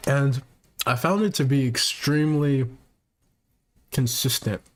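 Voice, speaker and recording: Soft-spoken man, halting and filler-heavy. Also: mid-range voice. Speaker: podcast host; technician. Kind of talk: casual monologue to viewers.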